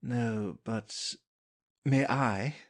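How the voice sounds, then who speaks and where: A man playing a shy, courteous young lord who speaks formally and haltingly in an audio stage play. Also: medium pitch. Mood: tentative.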